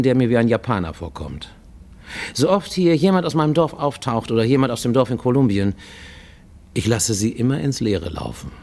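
A man's voice: fairly low pitch, darkish tone, husky. An actor playing a wary, greying Japanese man in hiding abroad, confiding in a formal, insistent way in a radio play monologue. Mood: wary, secretive. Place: studio radio production.

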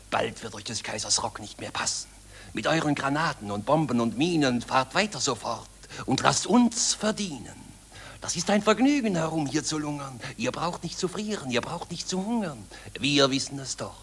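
An actor playing a cynical war profiteer, chanting smug verse in an old German radio play. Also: male voice, mid-range voice, a bit bright. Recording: old radio recording, faint crackle.

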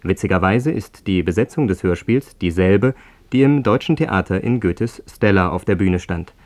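Fairly low-voiced man presenting. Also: animated, moderate pace, darkish tone. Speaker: presenter introducing a radio play. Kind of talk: scripted informative narration.

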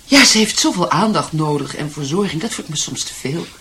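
Lowish, animated, insistent wife. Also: female voice, a little fast, a little hiss or hum. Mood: weary, coaxing. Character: caring, insistent wife and mother pleading with husband. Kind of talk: Dutch radio drama acting.

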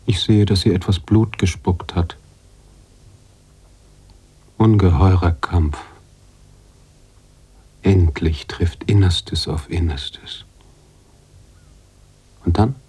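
An actor playing an anguished, philosophical writer-narrator in a literary radio drama, halting and searching, slipping at times toward a whisper. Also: male voice, low voice, steady tone, dark tone, breathy edge, exaggerated voice. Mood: haunted, awed. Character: brooding male writer-narrator, anguished, philosophical, mourning his heroine.

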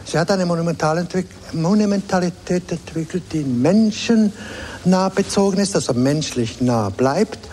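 A man giving a spontaneous, emphatic appraisal, halting and correcting himself, in a reverberant recording. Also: high voice, expressive, dark tone. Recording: long reverb, faint ambient noise.

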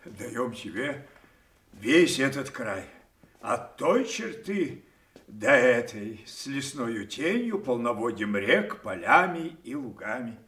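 An actor playing a proud, imperious old king in a solemn, declamatory classical audio drama, quick to rage. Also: mid-range voice, sing-song, a bit bright, reverberant. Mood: regal, solemn.

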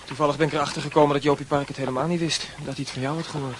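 A man plays an earnest teenage schoolboy in a radio play, with a lightish high voice and brisk delivery. He is brooding and stubborn, probing as he talks, over faint background noise. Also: steady tone, lightly acted. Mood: insistent. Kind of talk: radio drama acting.

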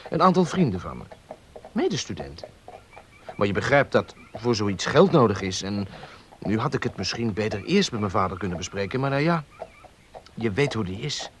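An actor playing a charming, spoiled young student, smooth-talking and teasing in a radio drama. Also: male voice, on the low side, very animated, moderate pace, slight background noise. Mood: sheepish, wheedling. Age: young adult.

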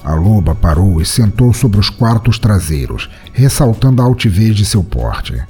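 Mellow, low Brazilian male storyteller. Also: big pitch swings, moderate pace, faint music behind. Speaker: narrator of a fiction podcast. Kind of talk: scripted dramatic storytelling narration.

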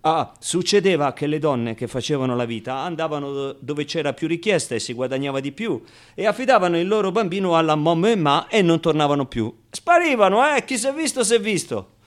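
A scrappy, wounded orphan tells his childhood story in a radio play, acted by a man. The voice sits a little high. The delivery is colloquial and theatrical, full of halting repetitions, moving between outbursts and quiet confiding. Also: expressive, lightly acted. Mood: wry, rueful. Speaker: stage actor performing a monologue. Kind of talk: theatrical first-person radio monologue.

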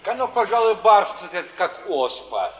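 An actor playing a kindly, sly old wandering pilgrim in a stage drama, folksy and philosophizing. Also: male voice, high-pitched, big pitch swings, moderate pace, slight background noise. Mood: musing, amused. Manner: folksy, chuckling, philosophizing in sayings. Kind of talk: theatrical stage acting. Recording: dull 1950s-70s stage recording, long hall reverb.

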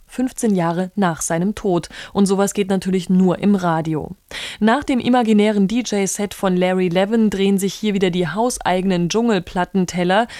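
A woman's voice: medium pitch, darkish tone, dry recording. Woman radio presenter, dry studio sound. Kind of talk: scripted programme announcement.

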